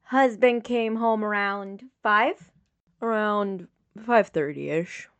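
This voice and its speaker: A woman's voice: medium pitch, takes it slowly, smooth. A woman reading the part of a composed, clinical young female medic in a story, direct and matter-of-fact. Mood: businesslike. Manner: matter-of-fact, clipped questioning.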